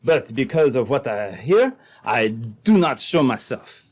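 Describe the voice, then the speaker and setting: A man playing an earnest French-Canadian trapper with a French accent in an old-time radio drama, speaking in a deliberate, dramatic way. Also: mid-range voice, big pitch swings.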